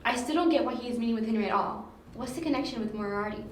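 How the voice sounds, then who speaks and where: A woman's voice: a little high, rapid-fire, lightly acted. A woman playing an impatient young stakeout partner in an amateur audio drama, questioning and skeptical. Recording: echoey room, distant mic, faint noise.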